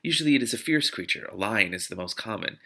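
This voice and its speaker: A man's voice: on the low side, animated, fast, creaky, pushed and bright, full character voice. A man voicing a kindly, earnest woman mystic in a storybook reading, careful and instructive. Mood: awed, explaining. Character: kindly, earnest woman mystic who crafts magic wards.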